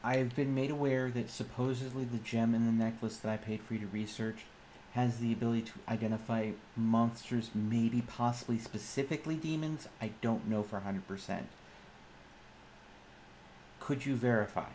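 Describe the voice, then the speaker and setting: A man's voice: medium pitch, steady tone, moderate pace, darkish tone, a little hiss or hum, rough recording. A man improvising in character during a tabletop roleplaying game, speaking hesitantly and often repeating or restarting his phrases.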